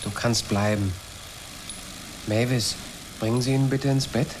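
Awkward male spaceship commander played by an actor, halting, anxious, radio play, engine noise behind. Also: medium pitch, steady tone, lightly acted. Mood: pleading, unsure. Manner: halting, unsure, repeats himself. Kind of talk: radio drama acting. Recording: clearly noisy engine-like ambience behind.

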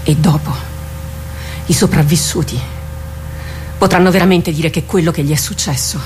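An actress in a radio drama playing a solemn female commander of warriors, grave, authoritative and deliberate. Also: sits low, steady tone, darkish tone, a little hiss or hum, natural voice. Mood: solemn, reflective. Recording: music behind the voice.

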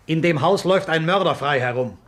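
An actor playing a jealous, suspicious husband in a 1950s German-language radio play. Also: male voice, a little high, brisk, fairly bright tone, close to an everyday voice. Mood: jealous, angry. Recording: 1950s radio drama recording.

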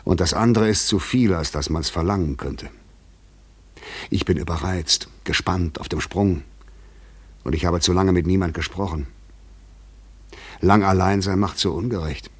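Tiefe, raue Stimme eines getriebenen Mannes. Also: male voice, low-pitched, moderate pace, pauses often, slightly rough. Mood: weary, tense. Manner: articulate, rhetorical, insistent. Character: overwrought, weary, probing male fugitive intruder. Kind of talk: German literary radio play acting.

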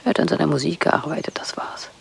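A woman playing a bitter, hunted wife from a psychiatric clinic in a radio play, terse and intense, her voice husky and breathy, often near a whisper. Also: sits low, fairly even intonation, quick pace, full character voice. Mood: bitter, guarded.

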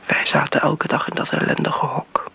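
A woman's voice: normal speed, in a whisper, close to an everyday voice. An actress playing a bitter, middle-aged daughter who defends her mother in a radio play, pointed and reproachful in manner. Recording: muffled old radio recording, background hiss.

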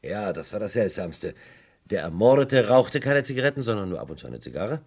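An actor playing a persistent, wry police detective in a German radio crime drama, questioning and recounting in a dry, conversational way. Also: male voice, fairly low pitch, very animated, fairly quick, creaky, a little mellow, breathy edge, exaggerated voice. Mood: reflective.

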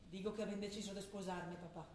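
An actress voicing a determined young daughter with a Trentino accent who speaks quickly and firmly. She is heard from a distance on a very echoey stage, with faint noise and a low rumble behind her. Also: steady tone, close to an everyday voice. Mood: quiet, firm. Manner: quick, firm and quietly defiant. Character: young woman, a determined daughter just graduated. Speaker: stage actor. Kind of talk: theatre drama broadcast on radio.

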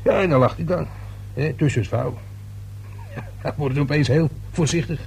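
An actor playing a nosy, grumbling working-class man in a radio drama, talking in a halting, colloquial, self-important way with a husky, gravelly voice. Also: on the high side, a little mellow, full character voice. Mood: boastful, grumbling.

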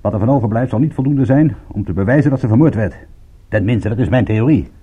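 An actor playing a world-weary, sardonic private detective with a husky voice in a radio play. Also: male voice, fairly low pitch, expressive, quick pace, phone-quality sound. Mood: sardonic, tense. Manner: wry, sardonic, laconic. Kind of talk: radio drama acting with first-person narration.

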